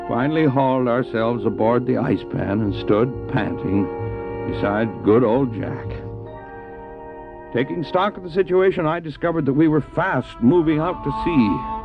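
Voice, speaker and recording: A man's voice: medium pitch, muffled recording, over music, natural voice. A man acting a stranded, devout doctor and dog sledder in an audio drama, earnest and theatrical, anguished but resolute, on an old cassette recording. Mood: grave, tense. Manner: earnest, theatrical, halting when speaking in character. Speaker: narrator and lead actor. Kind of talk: dramatized first-person adventure narration, audio drama.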